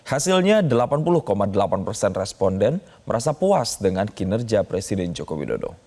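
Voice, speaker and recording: A male news presenter. His voice sits on the low side, and he delivers scripted news narration. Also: very animated, a little fast, a little mellow.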